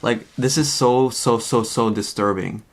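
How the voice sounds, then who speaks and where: A man's voice: mid-range voice, fairly even intonation, a little slow. A man hosting and giving casual spoken commentary on a news story. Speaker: host.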